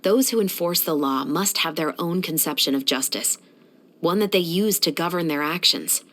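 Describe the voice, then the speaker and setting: A woman's voice: fairly low pitch, lively intonation, moderate pace, fairly bright tone. An actress playing a stern, dutiful young female patrol captain in a video game, speaking in a composed, authoritative and earnest manner. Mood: grave, earnest. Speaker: video game character voice actor. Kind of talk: scripted video game character voice lines.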